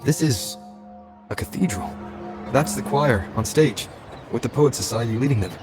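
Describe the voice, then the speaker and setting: An actor playing a calm, inquisitive young male adventurer in a video game. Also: mid-range voice, moderate pace, close to an everyday voice. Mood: calm, pondering. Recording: loud music under voice.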